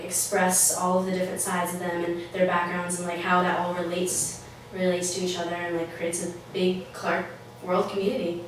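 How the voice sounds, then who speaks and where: A woman's voice: lowish voice, a little hiss or hum. A young woman, a student club member from Russia, chatting in a casual interview with plenty of fillers. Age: young adult. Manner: chatty, filler-heavy, upbeat. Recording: echoey room, mic far off.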